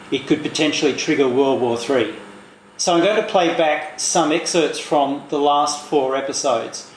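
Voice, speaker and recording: Slightly high-voiced man presenting. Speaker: video presenter. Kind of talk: explanatory video narration. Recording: echoey room, faint background noise.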